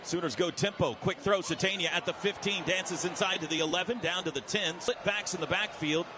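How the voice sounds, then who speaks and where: An American man calling football play-by-play on the radio in clipped, vivid, excitable phrases. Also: high voice, a little fast. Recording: noisy stadium radio broadcast.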